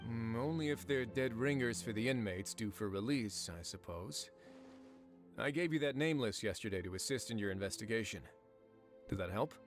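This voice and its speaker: A man playing a shrewd, composed prison warden in a fantasy video game, measured and wry as he reasons. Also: lowish voice, expressive, lightly acted. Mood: wry, curious. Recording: background music under the voice.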